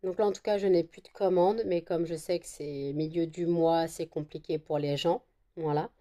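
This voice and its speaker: A woman's voice: low-pitched, steady tone, moderate pace, warm tone. A woman vlogging casually at home, talking to her phone camera with a slight cold, rambling with many fillers and restarts. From France. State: still has a cold. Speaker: vlogger, candle maker. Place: at home indoors.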